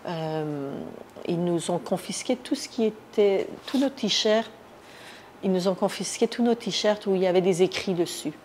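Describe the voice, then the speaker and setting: Lower-voiced woman recounting, some background noise. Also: darkish tone. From Iran. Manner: halting, searching for words. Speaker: interviewee, activist recounting her detention. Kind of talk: spontaneous first-person account in an interview.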